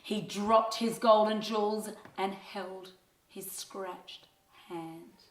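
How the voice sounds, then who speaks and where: A woman narrating a scripted children's story in an expressive, measured reading style. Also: mid-range voice, slight background noise. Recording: roomy, echoing sound.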